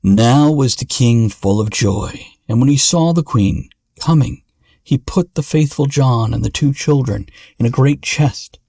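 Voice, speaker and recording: A man narrating a fairy tale in a measured, dramatic storytelling manner. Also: mid-range voice, warm tone, no room sound, clean studio sound. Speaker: podcast narrator.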